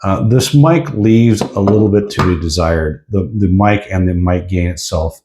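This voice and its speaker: A man's voice: low-pitched, measured pace, noisy background. A man giving a casual hands-on product review in an informal, explanatory way.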